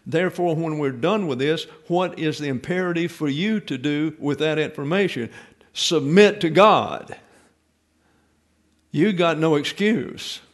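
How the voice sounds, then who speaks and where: A man's voice: on the high side, a little slow. A man preaching a Bible teaching sermon from the pulpit, explaining patiently, leaning hard on key points, with frequent fillers and restarts. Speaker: pastor-teacher.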